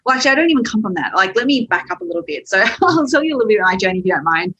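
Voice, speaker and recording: A woman's voice: mid-range voice, sing-song, racing, fairly bright tone, husky, dull audio. A young Australian woman with an Australian accent, telling her story as a podcast guest in a chatty way, laughing often. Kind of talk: podcast interview.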